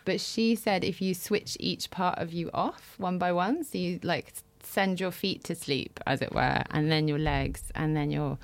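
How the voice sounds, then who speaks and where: Female, low voice, casual personal storytelling, dry close recording. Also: moderate pace, hardly any pauses, dry recording.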